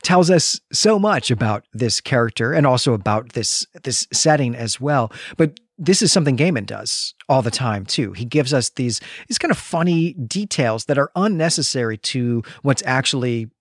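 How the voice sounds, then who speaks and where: A man's voice: medium pitch, lively intonation, normal speed, few pauses, no echo, clean studio sound. A man co-hosting a literary podcast, talking in a discursive, analytical, conversational way. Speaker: podcast co-host.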